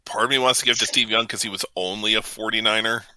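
A man's voice: lowish voice, fairly even intonation, fast talker, pushed and bright, no echo. A man co-hosting a casual sports debate, reading out matchups and giving his picks in an offhand, chatty way.

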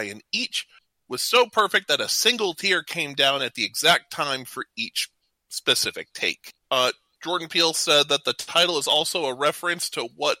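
Very bright-voiced man hosting casual trivia. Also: a little high, no echo, low-quality recording. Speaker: podcast host running a trivia game.

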